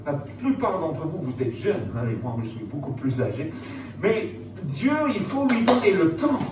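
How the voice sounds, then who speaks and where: Animated male preacher in an echoey room. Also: on the high side, measured pace, muffled recording. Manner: conversational, rhetorical questions, emphatic repetition. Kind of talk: informal motivational sermon to young listeners. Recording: echoey room, distant mic, noticeable background noise.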